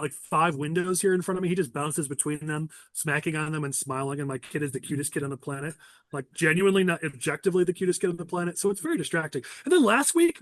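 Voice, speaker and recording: A man's voice: a little high, no echo. A man in his thirties hosting a casual political podcast, nasal and teasing, rambling with lots of fillers. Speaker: podcast host.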